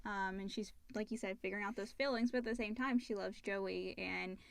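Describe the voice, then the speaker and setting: A woman's voice: medium pitch, steady tone, fairly quick, creaky, no room sound. Woman co-host, dry close sound. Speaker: co-host reading out listener questions. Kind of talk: casual chat about an audio drama.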